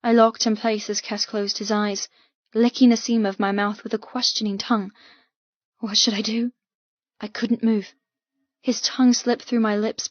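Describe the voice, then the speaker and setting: A woman's voice: medium pitch, no echo, lo-fi sound. A woman narrating an audiobook novel in the first person, with an intense, emotive, dramatic delivery.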